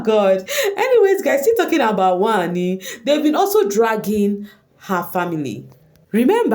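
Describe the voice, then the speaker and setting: A woman with a Nigerian accent and Igbo as her first language, giving casual gossip commentary straight to her viewers and slipping in Pidgin slang. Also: medium pitch. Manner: animated, addresses viewers directly, mixes in Pidgin slang. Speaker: online reality show commentator.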